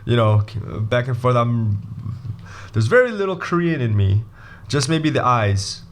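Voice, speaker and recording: A young man from Brazil giving a casual video lesson as an MBA admissions coach, animated and jokey, often restarting his sentences. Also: mid-range voice, measured pace.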